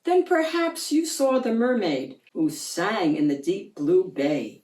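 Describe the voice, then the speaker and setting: A woman's voice: mid-range voice, lively intonation, takes it slowly, darkish tone, far from the mic. A woman reads a rhyming picture book with theatrical expression. She takes her time over each phrase, and her voice carries a roomy echo from a little way off the microphone. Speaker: children's book reader and channel host. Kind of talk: expressive picture book read-aloud in rhyme.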